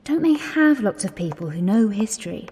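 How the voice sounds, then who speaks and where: Mellow, anxious Englishwoman, echoing hall. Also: mid-range voice, very animated, normal speed, a little hiss or hum. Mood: nervous. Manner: earnest, nervous, questioning. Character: anxious, earnest, self-taught young working-class Englishwoman, Agnes. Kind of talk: 1920s-set radio drama acting. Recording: reverberant hall-like space.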